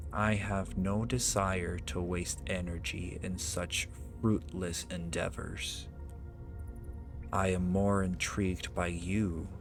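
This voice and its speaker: An ancient, proud dragon acted by a man speaks in a deep voice at an extremely slow, measured pace. The phrasing is archaic, formal and imperious, delivered as a theatrical ASMR roleplay monologue with music clearly playing behind it. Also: full character voice. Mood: calm, knowing. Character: ancient, proud male dragon summoned as a familiar.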